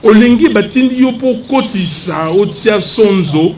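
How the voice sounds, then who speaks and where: A man's voice: high voice, expressive, unhurried, a little mellow. An older Congolese man whose first language is Lingala, answering a press conference question in an indignant, emphatic way. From Democratic Republic of the Congo. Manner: indignant, emphatic, switches between French and Lingala. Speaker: opposition politician at press conference.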